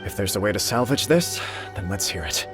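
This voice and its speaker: An earnest young commander. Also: male voice, on the low side, expressive, fairly quick, a bit bright, soft background music. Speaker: game character. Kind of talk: game voice acting.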